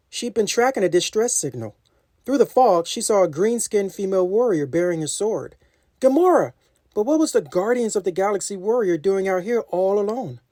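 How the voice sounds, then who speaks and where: Expressive woman reading a children's story. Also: mid-range voice, no room sound, studio quality. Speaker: storybook reader. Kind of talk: animated scripted children's narration.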